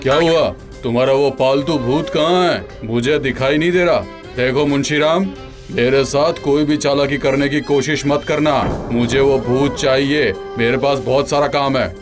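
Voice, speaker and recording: A man's voice: mid-range voice, steady tone, moderate pace, music in the background, close to an everyday voice. A man playing a haughty, threatening village politician in a comedy cartoon, demanding and domineering. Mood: menacing. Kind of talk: animated comedy cartoon acting.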